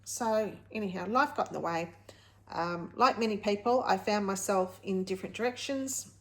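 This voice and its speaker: A woman at an ordinary, middle pitch. She chats informally to her viewers as a vlog host. Her delivery is candid and rambling, as she circles back to rephrase and correct herself. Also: moderate pace. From Australia. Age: late 50s. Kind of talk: casual personal vlog, chatting to viewers.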